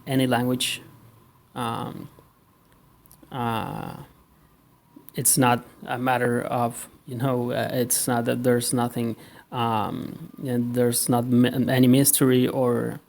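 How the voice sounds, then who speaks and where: A man from Argentina with an Argentine Spanish accent, a native Spanish speaker, introducing his online language lessons with many pauses and a habit of checking in with "okay?". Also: mid-range voice, level tone, vocal fry, a little mellow, slight background noise. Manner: halting, many fillers and restarts, tag "okay?". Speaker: language teacher.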